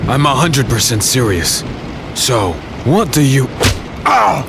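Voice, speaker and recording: An actor playing a defiant, battle-worn swordsman who narrates and speaks in a dark fantasy audio drama, gritty and earnest. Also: mid-range voice, very animated, takes it slowly, vocal fry, exaggerated voice. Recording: loud storm noise under voice. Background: rain, thunder and storm ambience.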